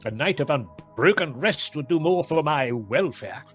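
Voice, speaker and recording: An actor playing an old miserly gentleman with formal, expressive diction in a theatrical radio play. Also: fairly high pitch, normal speed, husky, lo-fi sound, soft background music. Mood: nervous, querulous. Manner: formal, archaic, expressive delivery.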